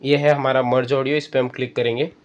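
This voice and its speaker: A man's voice: medium pitch, level tone, quick pace, slight background noise. A man hosting an app tutorial, explaining step by step and addressing viewers as friends. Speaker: tutorial host. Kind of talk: spoken screen-reader app tutorial.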